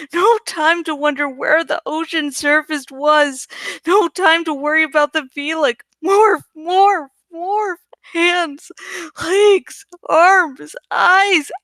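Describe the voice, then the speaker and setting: A woman voicing a desperate, exhausted young girl in a dramatic, intense first-person storybook reading with drawn-out emphasis. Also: high-pitched, pushed and bright, dry recording, full character voice. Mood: tense, then urgent. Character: desperate, exhausted young girl narrating her morphing battle.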